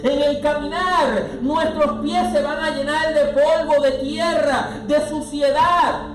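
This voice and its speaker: A pastor preaching a sermon, swelling from quiet passages into loud, sung-out lines, with music playing underneath. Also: male voice, very high voice, steady tone, takes it slowly, reverberant, recorded from a distance, low-quality recording.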